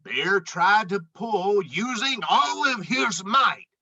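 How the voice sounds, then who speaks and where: A woman reading a children's book aloud in an expressive, dramatic and deliberate storytelling style. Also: medium pitch, husky, lo-fi sound.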